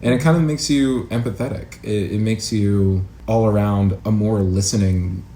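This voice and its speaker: Deep-voiced guy, acting teacher chatting in an interview, thinks it through as he goes, echoey room. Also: moderate pace, mellow. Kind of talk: casual interview conversation. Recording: roomy echo, faint background noise.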